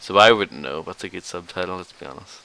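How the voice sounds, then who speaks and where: Northern Irish accent वाला एक युवा आदमी, podcast का co-host, सूखे और तंज़ भरे अंदाज़ में आराम से बात करता हुआ।. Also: male voice, low-pitched, fast, vocal fry, pushed and bright, slight background noise, rough recording. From Northern Ireland. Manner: dry, sarcastic teasing. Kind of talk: casual chat.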